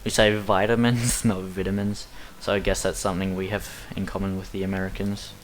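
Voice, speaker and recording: A young man with a relaxed Australian accent talks his way through a speedrun in a chatty, self-deprecating ramble. His voice sits on the low side, and a faint hiss of noise runs under the recording. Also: a little fast. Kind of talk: casual commentary over gameplay.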